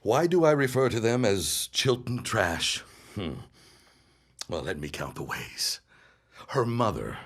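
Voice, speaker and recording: A man's voice: on the low side, very animated, takes it slowly, long pauses, exaggerated voice. An actor playing an arrogant, scheming 1910s businessman with haughty, formal diction in an audio drama. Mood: sneering, scornful. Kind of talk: period audio drama acting.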